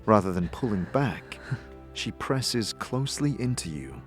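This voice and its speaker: A man narrating a dramatic second-person story as a game master, in a measured, deliberate, atmospheric manner. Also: fairly low pitch, expressive. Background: music.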